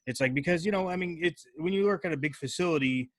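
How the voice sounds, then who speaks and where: A man's voice: fairly high pitch, fast, no room sound, studio quality. A man hosting a casual podcast interview, talking loosely with plenty of filler words. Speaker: podcast host.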